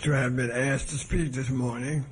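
A hoarse man giving a formal lecture, measured and humble, with hesitant restarts, heard on an archival recording. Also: medium pitch, steady tone, a little hiss or hum.

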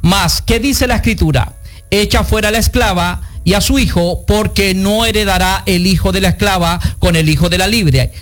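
A man's voice: high-pitched, normal speed, soft background music. A man co-hosting a religious radio show, reading and explaining scripture in an earnest, declamatory way. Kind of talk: sermon-style scripture reading.

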